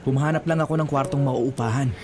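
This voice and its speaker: Gentle, helpful young Filipino man played by an actor. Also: mid-range voice, fairly even intonation, fast talker, over music, lightly acted. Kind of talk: Filipino radio drama acting.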